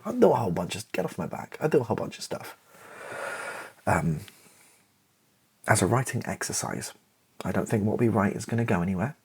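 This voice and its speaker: A man chatting in a relaxed ASMR style, rambling and self-deprecating with a wry touch, his voice husky and creaky. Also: lowish voice, a little fast, pauses often. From Britain. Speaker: ASMR YouTuber and playwright. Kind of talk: relaxed ASMR-style casual chat to camera.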